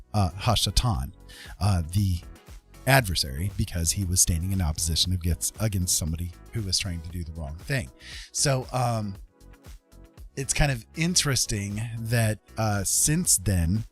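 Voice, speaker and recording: A man with a fairly low voice talks casually as a livestream host. He explains things step by step, pausing between short phrases. Music plays faintly behind him. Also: darkish tone.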